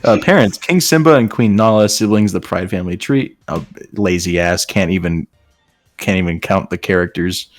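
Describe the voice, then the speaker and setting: Low-voiced man riffing casually over faint music. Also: normal speed, dry recording. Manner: joking, sarcastic, breaks off reading to riff. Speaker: host reading and mocking fan fiction. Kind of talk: casual riffing commentary with friends. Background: faint background music.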